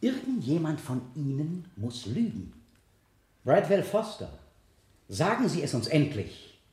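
A man's voice: a little high, very animated, measured pace, a little mellow. An actor playing an insistent male prosecutor, questioning in a pointed, theatrical way in a German radio play. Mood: accusing, insistent. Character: insistent male prosecutor cross-examining a defendant. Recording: echoey studio courtroom, older radio production.

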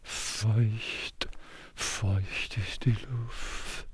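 Woman doing repetitive spoken poetry, super slow, big pitch swings, really noisy. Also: fairly even intonation. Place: outdoors on a forest trail. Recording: loud noise behind the voice.

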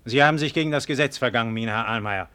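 A man's voice: medium pitch, quick pace, bright, forceful tone, clear, resonant tone, natural voice. Male actor as colonial officer, radio drama. Mid-range voice, strict, formal, accusing. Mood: stern, pressing. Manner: formal, clipped, interrogating. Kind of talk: German radio drama acting.

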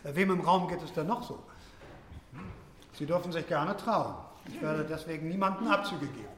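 An actor playing a wordy, probing seminar lecturer in a radio drama, with an academic, halting manner. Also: male voice, on the high side, expressive, fairly bright tone. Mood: analytical, measured. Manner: academic, wordy, hesitant pauses. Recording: echoey room with faint noise.

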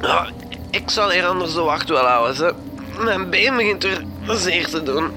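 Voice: mid-range voice, moderate pace, over music, natural voice. A performer playing a sulky, reluctant young man, a touchy ex-boyfriend, with a grumbling colloquial way of talking in a radio comedy drama.

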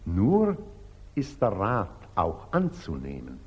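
An actor playing a pompous, unctuous elderly church patriarch, preaching and condescending in 1940s German radio drama style. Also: male voice, deep voice, wildly swooping pitch, takes it slowly, a little mellow, slightly rough, heavily acted. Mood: preaching, grand. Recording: old muffled 1940s radio recording.

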